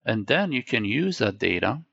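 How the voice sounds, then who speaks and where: Man, native Dutch speaker, gravelly low voice, dry dull lo-fi sound. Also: sing-song, normal speed, bright, forceful tone, no echo. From Belgium. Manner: explains step by step, asks rhetorical questions with "right?". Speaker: tech company guest. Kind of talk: conversational explaining.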